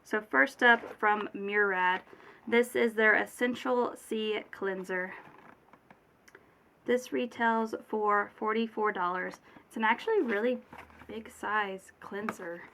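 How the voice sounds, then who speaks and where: Bright-voiced sick young woman vlogger. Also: medium pitch, slow pace, slight background noise. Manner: chatty, rambling, many fillers and self-corrections. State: sick with a cold, voice a little off. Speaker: beauty vlogger. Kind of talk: casual product unboxing chat.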